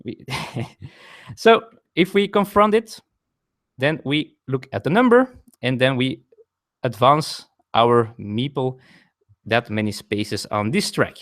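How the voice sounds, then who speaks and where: A man's voice: mid-range voice, lively intonation, slow pace, long pauses, fairly bright tone. A man streaming a board game live from home, explaining the rules step by step and chatting with viewers, often correcting himself, with a non-native English accent.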